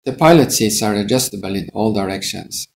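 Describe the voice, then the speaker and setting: A man's voice: fairly low pitch, expressive, brisk, gravelly, a little mellow, a little hiss or hum. A middle-aged man, an airline pilot, giving a methodical, scripted instructional narration.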